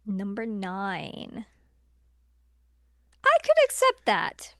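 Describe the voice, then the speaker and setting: A woman's voice: medium pitch, wildly swooping pitch, drawn-out words, fairly bright tone, no room sound, clean studio sound. A woman co-hosting a podcast in casual, informal conversation, emphasizing points by repeating herself. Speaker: podcast co-host. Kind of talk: casual chat, film ranking discussion.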